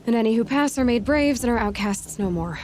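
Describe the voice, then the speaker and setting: An actress playing a determined young outcast huntress in a video game, earnest and defiant. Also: female voice, a little high, animated, brisk, slight background noise, lightly acted. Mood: eager, wary. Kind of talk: video game cutscene acting. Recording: loud music behind the voice.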